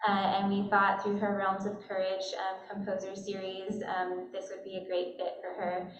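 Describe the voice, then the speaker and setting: A woman's voice: mid-range voice, completely flat delivery, moderate pace. A chatty woman welcomes guests to a gallery opening, filling her talk with "um"s and easy laughs. She sounds distant and dull, caught in an echoing room with a crowd murmuring behind her. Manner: chatty, many fillers, laughs easily. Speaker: arts organization staff member introducing the event. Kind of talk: casual welcome speech. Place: gallery opening reception, indoors.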